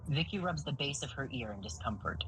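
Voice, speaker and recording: A woman's voice: very deep voice, animated, brisk, noisy background. A woman reading scripted stage-direction narration for an audio drama. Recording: music bed behind the voice.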